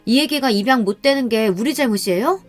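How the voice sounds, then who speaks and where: Woman putting on a shrill indignant adoptive mother. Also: fast talker, no room sound, lightly acted. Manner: shrill, defensive, complaining.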